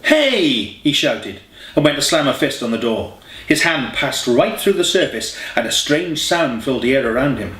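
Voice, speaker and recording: A man's voice: medium pitch, expressive, normal speed, a bit bright. A man reading a scripted story as an audiobook narrator. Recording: roomy, echoey home recording.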